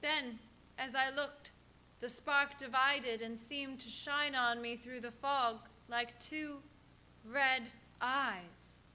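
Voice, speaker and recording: A woman's voice: high voice, steady tone, slow pace, bright, forceful tone, heavily acted. An actress playing a haunted young wife in a radio play, telling her story with dramatic suspense. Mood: eerie, suspenseful. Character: haunted, entranced young wife writing a journal. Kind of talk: live radio play acting.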